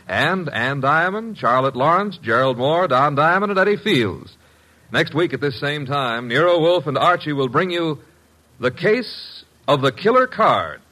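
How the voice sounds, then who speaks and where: A man's voice: fairly high pitch, bright, forceful tone, poor audio. A man reading scripted credits and promos as a polished, formal vintage network radio announcer. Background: music.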